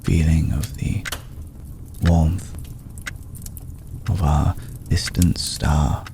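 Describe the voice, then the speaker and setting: A man playing a courteous, brooding old vampire lord in an intimate ASMR roleplay, speaking in a measured, solemn, deliberate way with long pauses. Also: steady tone, mellow, a little hiss or hum, heavily acted. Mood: solemn, inviting. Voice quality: deep, gravelly. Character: courteous, brooding centuries-old male vampire lord, tender toward a mortal.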